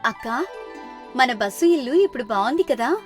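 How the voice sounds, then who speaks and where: A woman's voice: fairly high pitch, lively intonation, moderate pace, soft background music, lightly acted. A woman voicing a poor, hopeful and caring young sister in a moral story. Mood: pleased.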